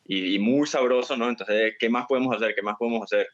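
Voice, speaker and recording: A man with a Venezuelan accent, a guest chef telling his story in a relaxed, casual way during an interview. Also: medium pitch, quick pace, highs cut off. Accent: Venezuelan Spanish.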